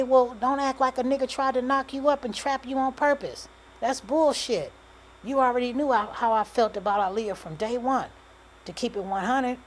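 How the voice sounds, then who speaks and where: A woman's voice: on the low side, slight background noise, voice pushed up, poor audio. A woman voicing a cocky, blunt young male rapper with dismissive street slang, in audiobook dialogue.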